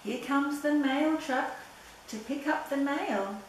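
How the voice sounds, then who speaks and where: An older woman reads a children's story at an unhurried, deliberate pace, with a slightly high voice and playful sound words. She sounds set back from the mic in a very echoey room. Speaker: storyteller reading a picture book aloud. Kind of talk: read-aloud children's story. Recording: very echoey room, distant mic, background noise.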